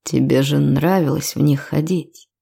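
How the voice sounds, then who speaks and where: A woman narrator plays a confident male lover in a romance audiobook. The voice is low, dark and creaky. He speaks in a measured, assertive way with a teasing edge. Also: fairly even intonation, full character voice. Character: self-assured, quietly commanding male lover in a romance.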